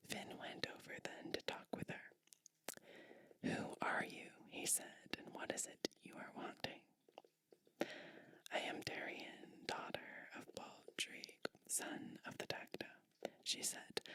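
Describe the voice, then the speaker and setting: A woman whispering ASMR storytelling and bedtime roleplay in a gentle, soothing manner. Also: low-quality recording. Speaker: ASMR host playing a sleep witch.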